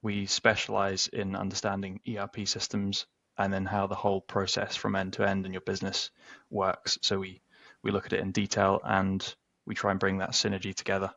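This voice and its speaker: A man, a webinar guest, explaining his work in a measured way over a video call. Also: low-pitched, completely flat delivery, gravelly, a bit bright, dry recording, lo-fi sound. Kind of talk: casual webinar chat.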